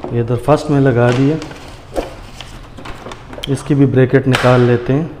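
A man's voice: mid-range voice, steady tone, normal speed. A man giving a casual hands-on tutorial, explaining step by step and addressing viewers as friends. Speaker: tutorial host. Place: indoors in a tiled room, hands at a granite counter. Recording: echoey hard-walled room, noisy.

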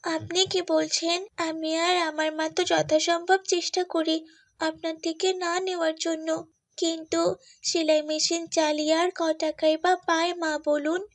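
A high, youthful voice of a poor, pious girl in a cartoon played by a woman. She speaks politely and earnestly, with a plaintive, patient edge. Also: little pitch movement, unhurried. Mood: pleading. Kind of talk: Bengali moral cartoon voice acting.

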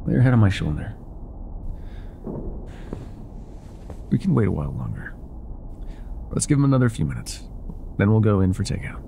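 Guy doing an older ex-mob boyfriend for asmr, super gravelly low voice, soft n affectionate, bit of background noise. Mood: tender, reassuring. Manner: soft, intimate, affectionate, hesitant at times. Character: older ex-mafia boyfriend, tender, teasing, vulnerable bar owner. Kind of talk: intimate boyfriend roleplay ASMR.

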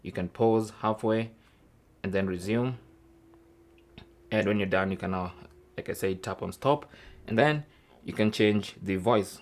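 A man's voice: on the low side, unhurried, pauses often, a little hiss or hum. A man hosting an informal tech tutorial, explaining things casually step by step. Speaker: host of a tech tips show.

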